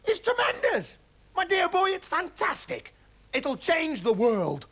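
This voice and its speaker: A man voicing an excitable, scheming middle-aged farmer father who gushes about his plans. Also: high-pitched, normal speed, creaky, husky, voice pushed up, full character voice. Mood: overexcited. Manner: breathless, gushing enthusiasm.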